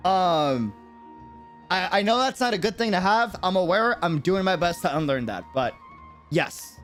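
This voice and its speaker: American streamer guy, kinda high voice, super animated, rambles in slang while chatting. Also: moderate pace, faint music behind. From New York City, United States. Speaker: game streamer. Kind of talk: casual livestream chat and reactions.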